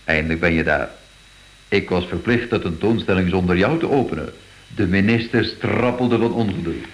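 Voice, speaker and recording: An actor playing a courteous, eager monuments inspector in a radio play, polite and a little officious. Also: male voice, deep voice, moderate pace, slight background noise, close to an everyday voice, rough recording. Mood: eager, welcoming.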